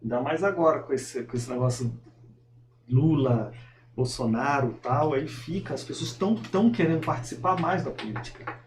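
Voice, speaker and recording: A man's voice: medium pitch, moderate pace, a little mellow. A Brazilian man chatting casually and a little hesitantly as an interviewer. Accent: Brazilian Portuguese. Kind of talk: casual chat. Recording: echoey room, faint background noise.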